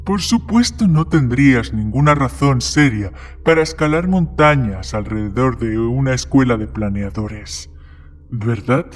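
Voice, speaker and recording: A man's voice: medium pitch, animated, unhurried. A man playing guarded male characters in the dialogue of an audio horror story. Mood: sly, teasing. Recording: background music under the voice. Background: music.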